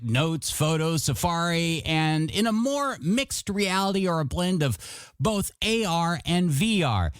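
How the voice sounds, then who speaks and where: A man's voice: a little high, no room sound, studio quality. A man hosting a radio show as a DJ, with showy, playful announcer patter and music playing behind him. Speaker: radio DJ host. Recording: music bed under the voice.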